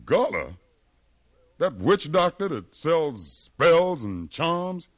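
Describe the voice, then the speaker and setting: An impassioned American rebel leader, swooping delivery. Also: male voice, fairly high pitch, very animated, extremely slow, exaggerated voice. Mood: wary. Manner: impassioned, oratorical. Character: bold, educated freed slave leading a revolt, persuasive. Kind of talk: 1950s radio drama acting. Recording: remastered 1950s radio broadcast.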